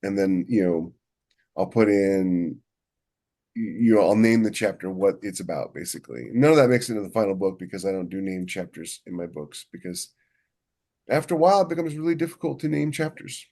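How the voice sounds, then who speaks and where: A man's voice: sits low, expressive, fairly quick, warm tone. A man co-hosting a podcast, talking casually and in a rambling way about his craft as a writer. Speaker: podcast co-host and novelist.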